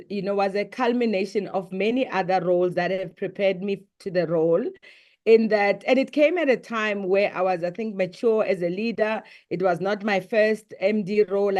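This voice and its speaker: A middle-aged South African woman whose first language is Sepedi, answering interview questions as a business executive in a measured, composed way. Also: mid-range voice, darkish tone.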